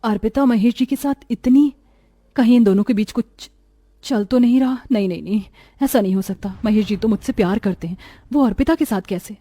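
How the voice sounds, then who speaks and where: A woman's voice: a little high, fairly quick, warm tone, no room sound, natural voice. A woman voicing a jealous, suspicious young wife in a cartoon family melodrama, speaking in an emphatic, accusing way. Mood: suspicious, anxious.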